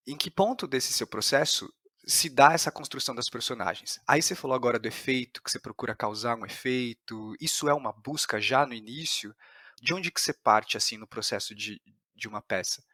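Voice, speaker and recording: A man's voice: mid-range voice, a little fast, sharp tone, no echo, clean studio sound. A man with a Brazilian Portuguese accent hosting a podcast, giving articulate, reflective commentary and questions. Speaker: podcast host and interviewer. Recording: guitar music behind the voice.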